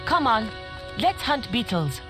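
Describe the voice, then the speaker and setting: A woman's voice: fairly high pitch, very animated, unhurried, over music. An actress playing an eager, adventurous young female apprentice in a video game, urging her companion on. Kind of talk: video game character acting.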